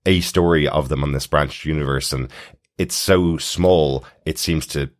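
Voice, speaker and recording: A man's voice: extremely low pitch, a little slow. A man co-hosting a podcast, talking conversationally through a television episode. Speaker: podcast co-host. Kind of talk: TV recap podcast discussion.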